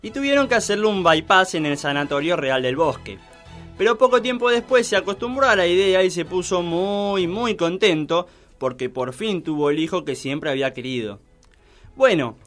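A man narrating a comic children's story in a theatrical, drawn-out storytelling manner. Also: high voice, a bit bright.

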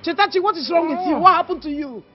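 A woman's voice: very high voice, fast talker. An actress playing a poor, overwhelmed mother who wails and pleads. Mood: distraught. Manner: wailing, pleading. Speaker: distressed mother. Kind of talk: film drama acting. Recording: dull lo-fi audio. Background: loud film score.

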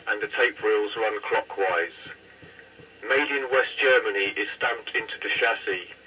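A man giving a scripted demonstration in a plain, measured, matter-of-fact way. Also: lowish voice. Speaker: presenter demonstrating vintage equipment. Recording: faint background noise.

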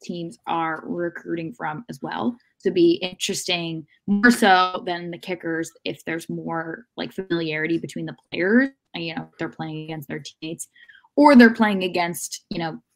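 A young woman with a fairly low voice talks sports on a video call from home. She sounds measured and halting as she works through her analysis in a casual panel discussion. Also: poor audio. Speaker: soccer podcast panelist. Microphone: video call microphone.

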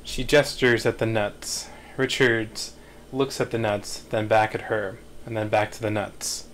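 A man's voice: fairly low pitch, flat delivery, a little slow, a bit bright, a little hiss or hum. A man reading stage directions as scripted narration at a screenplay table read.

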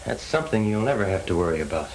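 An actor playing a determined young parolee out to clear his name in a 1930s crime film. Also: fairly low pitch, lively intonation, rapid-fire, slight background noise, low-quality recording. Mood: earnest, apologetic. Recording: old 1930s film soundtrack, music bleeding in.

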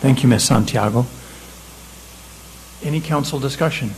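Council chair, man, mid voice, brisk procedural delivery. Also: mid-range voice, a little mellow, a little hiss or hum, low-quality recording. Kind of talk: chairing a formal meeting. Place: city council chamber.

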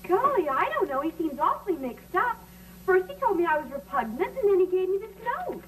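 A perky, naive schoolgirl, very high and lively in pitch. She is earnest and eager, in vintage sitcom style on a slightly noisy recording. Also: fairly quick. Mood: anxious, earnest. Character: perky, naive, wide-eyed teenage schoolgirl. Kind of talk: 1950s sitcom acting.

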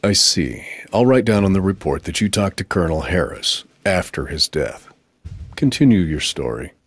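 An actor playing a skeptical military interrogator in a video game, husky and dryly sarcastic. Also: male voice, low-pitched, sing-song, normal speed, dry recording, heavily acted. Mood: dry sarcasm.

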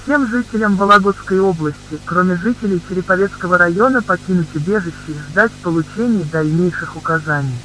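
A man playing a forceful public announcer delivering formal emergency instructions. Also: sits high, brisk, hardly any pauses, low-quality recording. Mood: commanding. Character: forceful public-address announcer sounding the all-clear.

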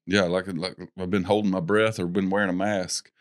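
A man's voice: low voice, level tone, fairly quick. Chatty laughing man on a call. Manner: chatty, laughs often, teasing. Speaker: host. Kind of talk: casual chat on a video call. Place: home music room. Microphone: studio mic with headphones.

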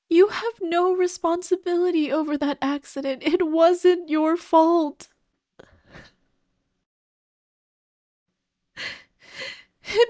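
A high, very dry and close voice of a woman acting as a dead girlfriend's ghost in an ASMR roleplay. She speaks slowly and tenderly, full of grief, gently pleading and comforting the one she left behind. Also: dry recording. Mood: anguished, pleading. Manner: slow, tender, sighing, pleading.

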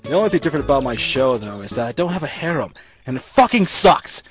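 A young man voicing a bitter, foul-mouthed high school boy who rants sarcastically in an anime parody dub. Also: big pitch swings, fast, lightly acted. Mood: sullen narrating. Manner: ranting, sarcastic. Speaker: narrator and lead character. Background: background music.

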